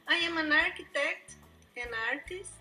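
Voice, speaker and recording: A woman's voice: extremely high pitch, fairly even intonation, moderate pace, pushed and bright, soft background music. A woman, an architect giving brief, simple interview answers. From Brazil. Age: 50s.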